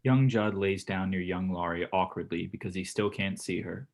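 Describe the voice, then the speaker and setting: Male narrator for stage directions, deep voice, scripted delivery. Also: fairly even intonation, moderate pace, creaky.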